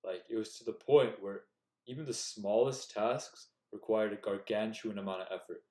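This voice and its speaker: Young guy giving motivational advice straight to camera, kinda low voice, echoey room. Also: fairly even intonation, normal speed, darkish tone. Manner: earnest, direct, coaching tone. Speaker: self-improvement YouTuber. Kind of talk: casual motivational talk to camera.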